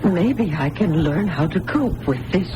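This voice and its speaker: A woman's voice: lowish voice, lively intonation, unhurried, dull audio. A woman playing an anguished, devoted older aunt in a 1980s radio drama, with emotional, faltering delivery. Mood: anguished, tearful. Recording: noisy old radio broadcast recording.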